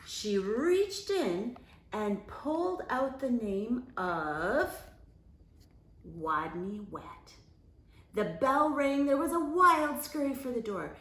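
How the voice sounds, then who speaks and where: A woman reading a children's picture book aloud in a deliberate, expressive storyteller's manner. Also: mid-range voice, roomy sound, slight background noise, poor audio. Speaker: story time reader.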